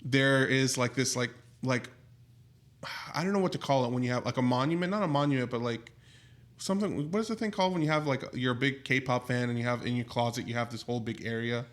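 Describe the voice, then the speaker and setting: A young man co-hosting a podcast, recapping a film in a relaxed way, often pausing to hunt for words. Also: medium pitch. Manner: relaxed, hesitant, many fillers and restarts while recapping. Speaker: podcast co-host. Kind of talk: casual chat.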